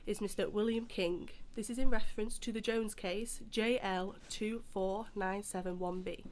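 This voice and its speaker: A woman's voice: mid-range voice, steady tone, close to an everyday voice. A woman playing a businesslike police detective in an audio drama, formal and procedural. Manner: procedural, measured.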